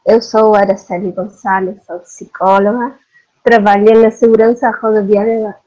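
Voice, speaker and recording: A woman's voice: mid-range voice, little pitch movement, unhurried, a little mellow, muffled recording. A woman from Argentina with an Argentine Spanish accent, a psychologist presenting on a video call in Portuguese, her second language, searching for words and often checking in with "sim?". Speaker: psychologist speaker. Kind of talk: webinar presentation.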